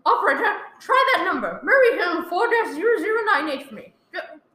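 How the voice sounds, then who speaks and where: A woman's voice: very high voice, sing-song, brisk, sharp tone, slight background noise, exaggerated voice. A woman playing a panicky, demanding invalid wife in a radio play, stammering and pleading. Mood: desperate, distraught. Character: frantic, demanding, self-pitying invalid wife alone at night. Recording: roomy echoing live recording.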